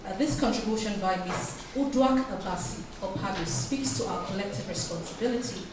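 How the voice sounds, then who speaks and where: A woman's voice: mid-range voice, brisk. A woman reading a formal note aloud at a public event. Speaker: reader of a speaker's note. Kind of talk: formal speech read aloud. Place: event hall. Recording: noisy, very echoey hall, distant mic.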